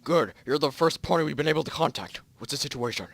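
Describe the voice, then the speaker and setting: A man plays a grave mission control pony from a cartoon fan story. The official speaks slowly and haltingly, as if on radio duty. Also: on the high side, animated, gravelly, a bit bright, heavily acted. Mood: businesslike.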